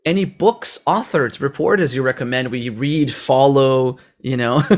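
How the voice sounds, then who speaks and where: A man with a medium-pitched voice hosts a conversational interview. He is a native Portuguese speaker from Brazil and speaks with a Brazilian accent, talking in an unscripted, conversational way. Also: lively intonation, unhurried. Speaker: podcast host.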